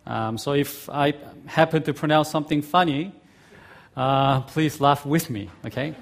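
A middle-aged man with a mix of Korean, Australian and American accents, a Korean native speaker, preaching as a guest pastor in a friendly, halting way with many fillers. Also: a little high. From South Korea. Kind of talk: sermon.

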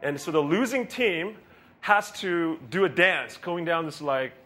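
A man's voice: fairly high pitch, measured pace, sharp tone. A male pastor giving a casual, rambling sermon, joking about himself and laughing often. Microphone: handheld or stand mic with feedback.

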